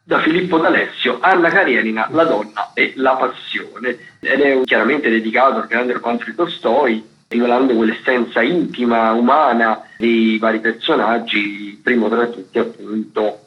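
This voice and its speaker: A man's voice: mid-range voice, steady tone, normal speed. Chatty male theatre critic, dull radio audio. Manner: chatty, hesitant, self-correcting. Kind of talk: informal spoken listings rundown.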